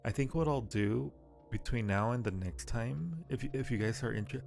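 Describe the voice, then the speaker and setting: Male live streamer, casual and chatty, mid-range voice with some fry, music underneath. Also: mid-range voice, normal speed, a little mellow. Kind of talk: casual live chat while gaming. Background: game music.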